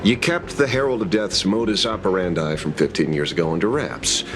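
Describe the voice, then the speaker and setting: A man playing a wry, world-weary but sharp private detective in a video game, speaking in a dry, musing way. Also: sits low, brisk, music in the background. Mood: sly, probing.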